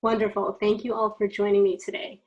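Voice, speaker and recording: A woman in her thirties from the United States, hosting a podcast discussion over a video call from her bedroom in a clear, measured way. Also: mid-range voice, flat delivery, lo-fi sound. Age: 30s. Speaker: podcast host. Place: bedroom at home, indoors. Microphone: video call from home.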